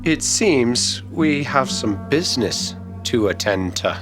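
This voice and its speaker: A man voicing a menacing, controlling Old West crime boss in a radio western, with drawn-out, forceful delivery. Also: mid-range voice, lively intonation, music in the background, pitched above the performer's own voice. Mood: controlled.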